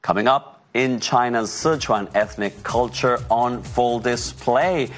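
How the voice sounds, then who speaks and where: A male news anchor introducing stories in a crisp presenter style. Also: mid-range voice, slow pace, soft background music.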